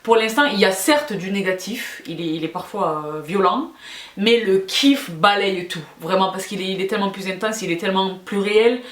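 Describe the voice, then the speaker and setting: A woman's voice: medium pitch, moderate pace, fairly bright tone. Woman, casual video host, affectionate, emphatic, repeats herself, echoey room sound. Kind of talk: casual question-and-answer chat to followers.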